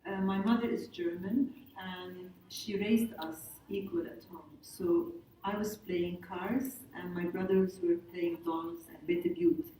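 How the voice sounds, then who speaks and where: A woman's voice: fairly low pitch, measured pace, hardly any pauses, dark tone. A woman journalist from Jordan, telling her story on a conference panel in short, emphatic sentences. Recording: very echoey hall, distant mic, faint noise.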